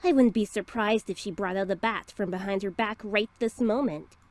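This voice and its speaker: A woman's voice: mid-range voice, normal speed, lightly acted. A woman voicing a catty, sneering teenage clique girl in a visual novel.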